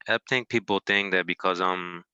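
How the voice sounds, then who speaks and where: A man's voice: low voice, fairly even intonation, normal speed, bright, forceful tone, smooth, no room sound, muffled recording. A man from Costa Rica in a casual discussion, speaking informally and often adding "you know.".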